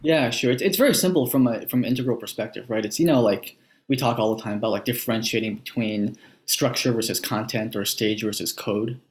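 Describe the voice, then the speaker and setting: A man from Hawaii speaks at a middling pitch as a guest in a casual conversation. He is easygoing and playful, explaining ideas in an informal way. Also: fairly quick. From Hawaii, USA. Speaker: interview guest.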